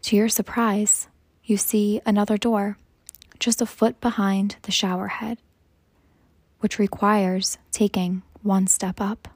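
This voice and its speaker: Female meditation guide: hushed and breathy, unhurried phrases with long pauses, level delivery. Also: medium pitch, level tone, no echo. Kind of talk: slow guided meditation narration.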